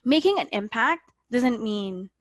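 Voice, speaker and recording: A woman's voice: a little high, animated, measured pace, no room sound. Earnest woman coaching, dry close sound. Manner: earnest, often tags sentences with "right?". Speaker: guest life coach. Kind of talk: motivational interview talk.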